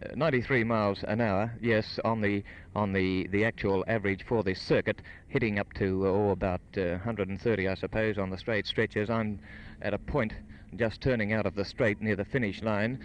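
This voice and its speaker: A man commentates for 1950s radio from beside a race track. His delivery is formal, with hesitant ad-libbed patches. Clear engine and outdoor noise runs through the old broadcast recording. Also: fairly low pitch, steady tone, moderate pace, hardly any pauses. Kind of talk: 1950s live radio motor racing commentary and interview. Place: outdoors trackside at a motor racing circuit. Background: racing cars and engine noise.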